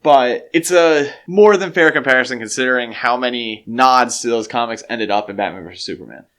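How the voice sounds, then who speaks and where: A man's voice: medium pitch, a bit bright. A man co-hosting a podcast, discussing films casually in a conversational way, thinking aloud as he goes. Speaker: podcast co-host.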